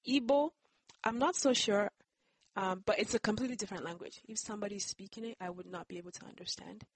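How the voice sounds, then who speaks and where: Nigerian woman explaining calmly, dry sound. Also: medium pitch, quick pace, husky, no echo. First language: Yoruba. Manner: explains carefully, hedging with "I believe". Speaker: interviewee. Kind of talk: conversational interview.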